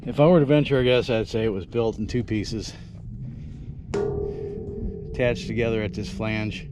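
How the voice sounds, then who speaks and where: Low-voiced man vlogging through loud wind noise. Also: normal speed. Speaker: vlogger exploring the desert. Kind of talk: casual outdoor travel narration to camera. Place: outdoors in open desert. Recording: windy outdoor recording with loud noise.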